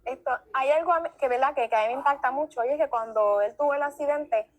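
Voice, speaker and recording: High-voiced woman giving fervent testimony. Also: fast, poor audio. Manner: emphatic, repetitive, preacher-like. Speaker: guest giving religious testimony.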